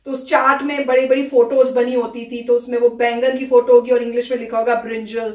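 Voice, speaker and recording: A woman from India chatting casually about a video in an animated, storytelling way. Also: fairly high pitch, brisk. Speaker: reaction vlogger. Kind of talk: casual chat reacting to a video. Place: US home. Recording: echoey room, far from the mic.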